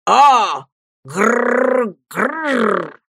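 A woman's voice: on the high side, big pitch swings, very slow, vocal fry, sharp tone, breathy edge, no echo, studio quality, voice pushed up, exaggerated voice. A woman voicing a ferocious, drunken old male junk dealer who wails and growls gutturally. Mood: ranting, wailing.

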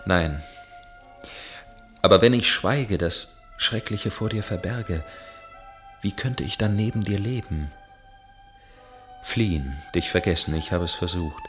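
An actor playing a tormented storyteller recounting a dark tale in a German radio play, with grave, measured, literary delivery. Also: male voice, low-pitched, faint music behind. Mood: anguished.

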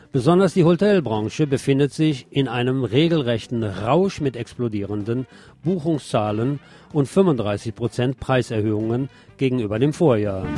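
A man's voice: medium pitch, mellow. A man reading scripted radio news with some pointed commentary.

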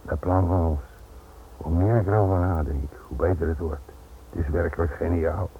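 A man acts out a smug crook in a radio play, gloating and scheming. His voice is deep, and the old recording is thin and slightly noisy. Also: steady tone, moderate pace, phone-quality sound, natural voice. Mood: gleeful, smug.

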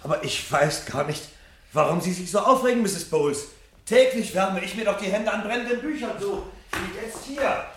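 A man's voice: high-pitched, expressive, moderate pace, noisy background. An actor playing an agitated, bitter, rebellious fireman and husband in a 1970s radio play, speaking in an intense, declamatory way. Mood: defensive. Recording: roomy studio radio play sound.

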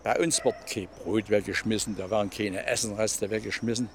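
A man's voice: on the low side, fairly even intonation, a little fast, slight background noise. An older man talking spontaneously and emphatically as an interviewee, often repeating his phrases for weight. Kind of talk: spontaneous opinionated talk.

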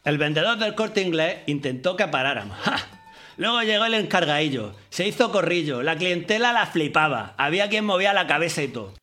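A man's voice: fairly high pitch, lively intonation, fairly quick, pushed and bright, faint music behind. A man recounting his punk band's antics in a cheeky, blunt way. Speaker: punk band member. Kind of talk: casual storytelling.

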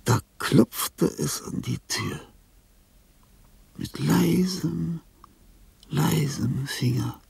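An actor playing a lonely, dying old composer in a radio drama, tender and confused, with a husky, halting delivery that swings between whispers and cries. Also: male voice, on the high side, darkish tone, no room sound, heavily acted. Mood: solemn, murmuring. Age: older. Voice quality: gravelly, husky, breathy. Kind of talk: German radio drama acting.

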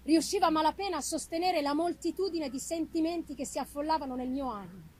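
A woman giving a dramatic, deliberate and expressive literary reading of a gothic novel. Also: sits high. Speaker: reader of a literary audiobook.

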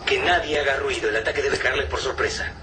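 An actor with an Argentine Rioplatense accent playing a stern, cautious militia major in a radio drama. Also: male voice, medium pitch, fairly even intonation, fast talker, distant mic, heavy background noise, lightly acted. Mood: commanding. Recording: off-mic radio drama mix with music.

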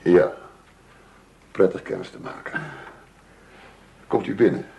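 An actor playing a cautious middle-aged businessman in a radio drama, speaking in a dry, deliberate, slightly uneasy way. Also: low voice, vocal fry, muffled recording, full character voice. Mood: surprised, courteous. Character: cautious middle-aged businessman, married grandfather, sent to negotiate. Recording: noisy old radio drama recording.

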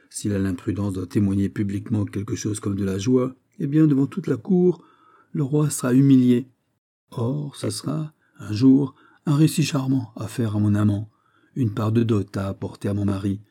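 Male reader as an imperious king, wounded pride, biting sarcasm, dark husky tone, period novel. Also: on the low side. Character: proud, wounded king, bitterly mocking and imperious.

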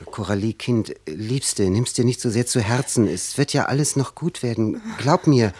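A man's voice: medium pitch, lively intonation, moderate pace, a little mellow, slightly rough, no room sound. A man acting in a German radio play as an ambitious young writer, a devoted but anxious and bitter lover. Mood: tender, anxious.

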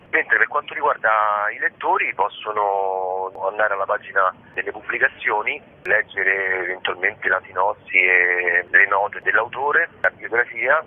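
A man's voice: lowish voice, breathy edge. A man speaking as an interview guest on the radio, explaining his project. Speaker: guest, curator of a digital publishing project. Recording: thin, narrow phone-line sound.

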